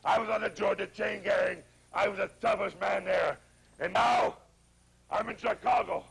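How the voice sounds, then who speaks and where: Male wrestler promo: extremely raspy, forceful, high-strung, menacing and repetitive. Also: normal speed. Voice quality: gravelly, rasping. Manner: menacing, repetitive, worked-up shouting threats. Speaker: professional wrestler.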